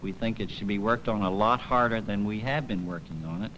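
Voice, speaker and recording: Low-voiced man, official tone. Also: a little fast, a bit bright, a little hiss or hum, rough recording. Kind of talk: formal explanatory statement.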